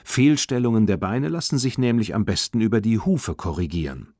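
Guy reading as a sensible caring mom in a kids story, practical, measured, lots of intonation. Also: fairly low pitch, expressive, darkish tone, no room sound, studio quality. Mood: explaining. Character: sensible, caring adult mother of a girl.

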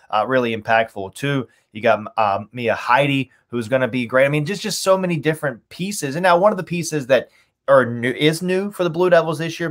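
A man in his thirties talking through team analysis conversationally as a sports announcer on a video call, stressing points by repeating words. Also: medium pitch. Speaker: radio play-by-play announcer, podcast guest. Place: small home room, indoors. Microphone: video call, webcam framing.

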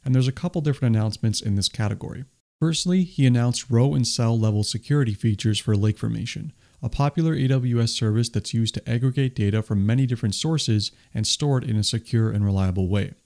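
A man's voice: mid-range voice, quick pace, darkish tone. Man narrating a tech news recap. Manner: enthusiastic, explanatory. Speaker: tech video host. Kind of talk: scripted voice-over summary. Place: voice-over, not recorded on the stage pictured.

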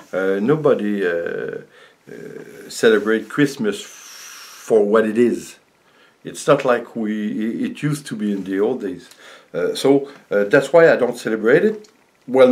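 An older man, around sixty, a native French speaker with a French accent, chatting casually to camera in a halting, rambling way as a vlogger in his home studio. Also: fairly low pitch, darkish tone. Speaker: vlogger and painter. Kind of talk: casual vlog chat to camera. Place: small home art studio indoors.

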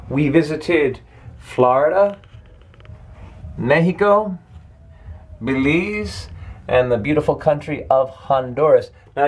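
Man filming and asking leading questions. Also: medium pitch. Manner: leading questions, tour-guide narration. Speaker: vlog host, interviewer. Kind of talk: upbeat travel vlog interview. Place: small ship cabin, indoors. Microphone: camera mic, behind the camera.

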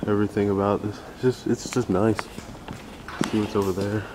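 A young man casually narrating a handheld vlog while exploring an abandoned factory, relaxed and slangy, joking with his viewers. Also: on the low side, a little fast, background noise. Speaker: urban explorer vlogger. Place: abandoned factory, large indoor space. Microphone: handheld camera mic.

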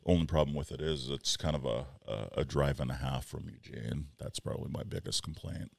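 A man in his forties, co-hosting a podcast in casual chat, rambling and animated as he tells stories with quoted asides. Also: very deep voice, brisk, creaky, dry recording. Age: 40s. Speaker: podcast co-host. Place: small wood-paneled studio room. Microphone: headset and boom mic.

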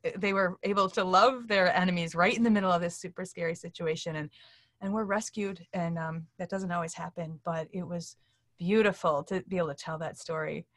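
A woman's voice: on the low side, a bit bright, no echo, studio quality. A middle-aged woman, an interview guest, telling stories earnestly and acting out what people said. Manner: earnest, acts out quoted speech while telling stories. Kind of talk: animated storytelling in a casual interview. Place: indoor room, seated on a couch on a video call.

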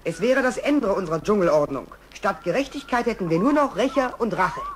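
Earnest young lion, high voice. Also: male voice, lively intonation, fairly quick, noisy background. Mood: resolute. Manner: earnest, principled, resolute. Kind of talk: German children's audio drama acting. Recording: music bed under the dialogue.

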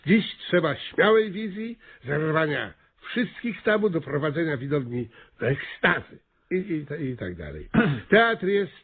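An actor playing a pompous, flustered small-town mayor in a comic radio play, officious and hesitant in manner. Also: male voice, fairly high pitch, sing-song, a little slow, husky, full character voice. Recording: thin, phone-like radio play recording.

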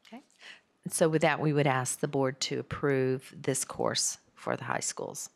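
Low, gravelly woman at a podium. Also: wildly swooping pitch, a little slow. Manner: hesitant, filler-laden explaining. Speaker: district staff presenter. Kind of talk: formal presentation to a board. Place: large board meeting room. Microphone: podium microphone.

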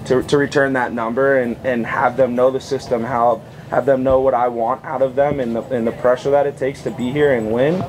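A man in his thirties, a head coach, talking through a postgame press conference in an upbeat, fast-flowing way with restarts. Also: mid-range voice, level tone, noisy background. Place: outdoor tent at a poolside press table.

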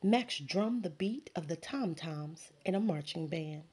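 Woman, teacher, children's book read-aloud, very slow, breathy, expressive. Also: on the low side. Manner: slow, expressive storytelling with sound words and character voices.

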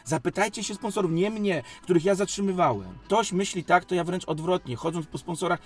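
A man's voice: high-pitched, animated, brisk. Emphatic man defending himself, music behind. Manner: emphatic, defensive, repeats phrases and asks rhetorical questions. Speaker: sports league company president and club coach. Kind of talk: unscripted studio interview answers.

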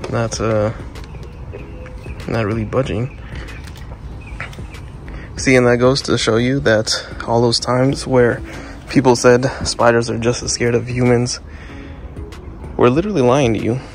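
A man's voice: medium pitch, slight background noise. A young man talking casually to camera as a car vlogger, rambling and leaning on words like "like" and "literally". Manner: rambling, heavy use of "like" and "literally". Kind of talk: casual vlog talk to camera.

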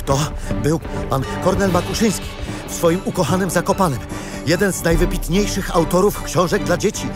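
An actor playing a cheerful grandfather who guides children through history in an audio drama, explaining things in an animated storytelling way. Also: high-pitched, moderate pace, over music, natural voice. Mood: explanatory.

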